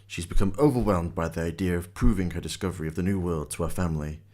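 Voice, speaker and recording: A man's voice: low voice, fast talker, dark tone. A man reading scripted narration as the storyteller of a radio drama.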